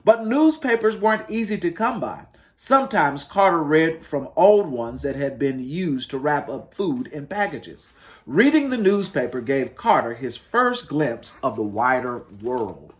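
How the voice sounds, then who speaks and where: A man reads a children's picture book aloud as a story-time host. His voice sits a little high for a man and swings widely in pitch. He stresses key words with dramatic emphasis. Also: big pitch swings, a little slow. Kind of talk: expressive picture book read-aloud for families.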